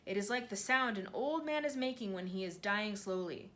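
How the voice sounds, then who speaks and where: A woman voicing a huge, gentle male giant who speaks with jumbled grammar and made-up words, earnest and full of wonder. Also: medium pitch, expressive, normal speed, close to an everyday voice.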